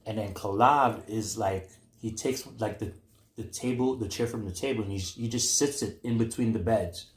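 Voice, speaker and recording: A young adult man telling a story in casual, slangy chat, halting and repeating himself with some disbelief. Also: lowish voice. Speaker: guest co-storyteller. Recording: echoey, roomy sound.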